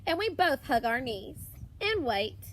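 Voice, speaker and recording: A woman with a high-pitched voice reads a picture book for young children. She goes very slowly, lingering on words and leaving deliberate pauses, and her intonation swings widely in an expressive teacher's storytelling style. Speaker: primary school teacher.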